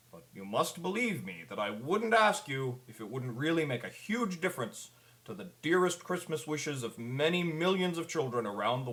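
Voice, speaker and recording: A man's voice: medium pitch, a bit bright. A man playing a kindly, concerned old Santa Claus, giving an earnest, pleading scripted public address. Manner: earnest, pleading storyteller delivery.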